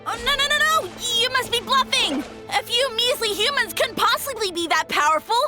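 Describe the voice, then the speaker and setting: A woman's voice: extremely high pitch, animated, moderate pace. A woman voicing a small, boastful, easily flustered male mushroom god in a video game. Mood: panicked bluster. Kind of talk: animated video game acting. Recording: faint game music behind.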